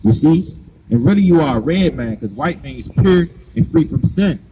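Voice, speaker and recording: A man's voice: a little high, expressive, normal speed, muffled recording, background noise. A man preaching on the street, pressing his points with repeated questions. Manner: insistent, hammers points with repeated "right?".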